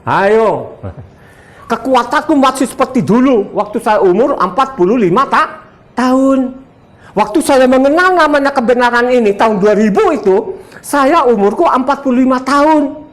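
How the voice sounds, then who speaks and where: Combative elderly preacher. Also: male voice, very high voice, animated, moderate pace. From Sumba, Indonesia. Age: 70s. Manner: combative, boastful, laughs often, quizzes listeners. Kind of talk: sermon to a congregation.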